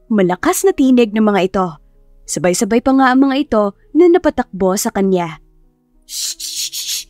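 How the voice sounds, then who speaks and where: A woman's voice: medium pitch, dark tone, no room sound. A woman narrating a scripted romance audiobook story, close to the microphone.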